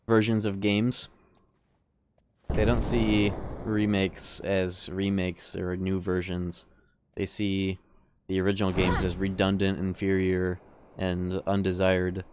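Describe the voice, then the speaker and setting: A man's voice: sits low, slight background noise. A man streaming a video game, giving laid-back, sardonic commentary and swearing freely. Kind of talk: casual live gameplay commentary.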